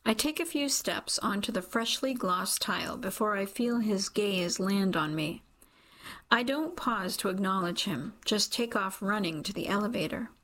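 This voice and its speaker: A woman's voice: mid-range voice, animated, normal speed, a bit bright. A woman narrating first-person fiction as an audiobook reader, in a scripted storytelling style.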